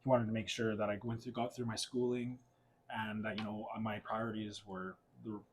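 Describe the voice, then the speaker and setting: A man's voice: fairly low pitch, flat delivery, normal speed, slight background noise. A young adult man from Portugal, raised in western Canada, candidly telling his life story as a guest in a casual chat and laughing often. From Portugal, raised in Alberta, Canada.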